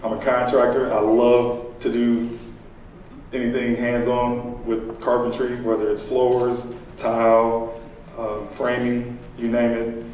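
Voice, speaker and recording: An easygoing man in his late twenties tells a live audience about his contracting business in a loose, chatty way, full of ums and uhs. His voice sits at a middle pitch and comes from some way off the mic, and a strong echo rings around it. Faint background noise hangs underneath. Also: slow pace, recorded from a distance. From Illinois, USA. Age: late 20s. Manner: relaxed, conversational, frequent fillers. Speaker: contractor and aspiring entrepreneur. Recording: echoey room, picked up from afar.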